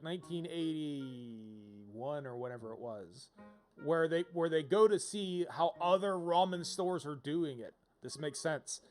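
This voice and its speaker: A man giving casual, rambling live commentary as he streams a video game, often repeating phrases as he riffs. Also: on the high side. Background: game music.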